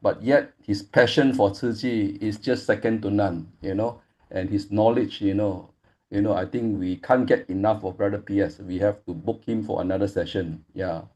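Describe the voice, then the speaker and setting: A man's voice: fairly low pitch, fairly even intonation, moderate pace. A man moderating an online study group over a video call, talking casually with many "you know" fillers. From Malaysia. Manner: frequent "you know" fillers, warm thanking.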